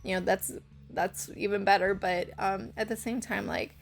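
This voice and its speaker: A young woman with a hoarse, scratchy voice, rambling through a casual gameplay voice-over with lots of fillers. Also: mid-range voice, fairly even intonation, moderate pace, faint music behind. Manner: rambling, hesitant, full of fillers. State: hoarse from karaoke. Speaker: channel host.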